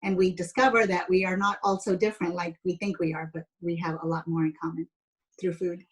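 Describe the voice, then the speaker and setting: A woman's voice: lowish voice, level tone, a little fast, slightly rough, rough recording. A woman in her fifties from San Jose, a chatty, explanatory interview guest telling personal stories in a casual way. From San Jose, United States. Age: 50s. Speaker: interview guest, educator and former pastry chef.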